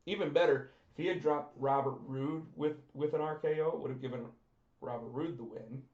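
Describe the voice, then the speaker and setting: A man co-hosting a casual wrestling podcast, talking excitedly and repeating himself, with his voice going hoarse. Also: a little high, a little fast. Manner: excited, talkative, repeats words when starting. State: losing his voice. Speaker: podcast co-host. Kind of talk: casual chat about pro wrestling. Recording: roomy, dull lo-fi sound.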